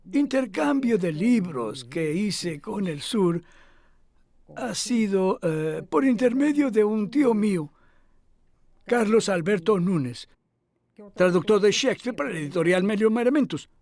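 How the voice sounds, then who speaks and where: A man dubbing an elderly male scholar who recalls his past calmly, in measured speech with hesitant pauses. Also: high voice, creaky, exaggerated voice. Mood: reflective. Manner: measured, thoughtful, with hesitant fillers. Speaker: dub voice of an interviewee. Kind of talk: voice-over dub of an interview.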